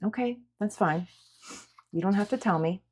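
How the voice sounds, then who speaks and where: Woman acting patient, reassuring woman, mid-pitched. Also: normal speed, husky, lightly acted. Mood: soothing. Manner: reassuring, gently insistent. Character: caring, patient woman comforting an upset girl. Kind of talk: dramatic audiobook character acting.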